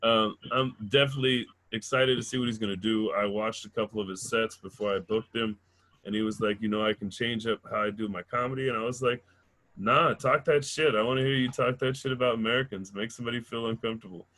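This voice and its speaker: A man's voice: medium pitch, fairly even intonation, fast, a bit bright, poor audio. Loose, chatty male comedy host. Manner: loose, chatty, swears casually. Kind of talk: introducing comedians on a show.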